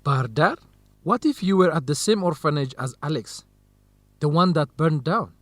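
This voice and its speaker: An actor playing a supportive, curious young male friend in an educational radio drama, expressive and reactive. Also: on the high side, moderate pace, no echo. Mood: curious, teasing.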